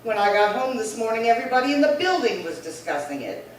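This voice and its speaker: Actress as indignant scolding sister, higher-pitched, emphatic, radio drama, very echoey room. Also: female voice, sing-song, fast. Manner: scolding, emphatic, repeats herself. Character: indignant, bossy, scandalized older sister. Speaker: actor. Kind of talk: old-time radio crime drama acting. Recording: very echoey room, distant mic, faint noise.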